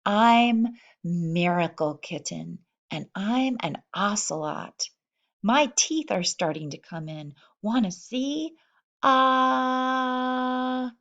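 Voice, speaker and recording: Female storybook reader, unhurried, expressive read-aloud for young children, slightly muffled recording. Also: on the high side. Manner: expressive, speaking as baby animals in first person.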